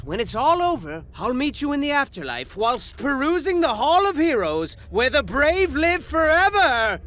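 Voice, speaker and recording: Wildly animated high-pitched male narrator. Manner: wildly animated, sarcastic asides, sound effects. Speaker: game narrator. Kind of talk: theatrical comic game narration. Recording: game audio with loud music.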